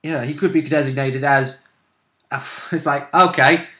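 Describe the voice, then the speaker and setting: A man's voice: mid-range voice, fairly even intonation, moderate pace, bright, forceful tone. A man chatting casually as a player in a tabletop game, rambling into jokey, playful asides. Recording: roomy, echoing room sound.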